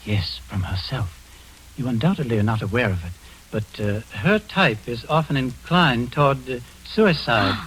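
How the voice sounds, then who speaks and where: An actor playing a composed middle-aged man posing as a doctor, speaking with calm, measured, clinical authority in a vintage radio drama. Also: mid-range voice, breathy edge, rough recording. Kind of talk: 1940s radio acting.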